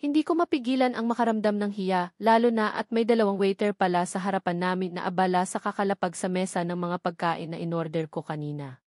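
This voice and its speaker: Female narrator, Filipino accent, scripted romance storytelling, low-set voice for a woman kept mid-range, dry close sound. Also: quick pace, a little mellow, no echo. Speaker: audiobook narrator. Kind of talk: scripted first-person romance novel narration.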